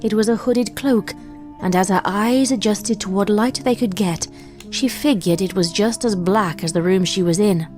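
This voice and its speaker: Brief: woman narrator, mid-pitched, even storytelling of a grim tale, faint music underneath. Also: normal speed. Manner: steady storytelling. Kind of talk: scripted narration of a horror fanfic.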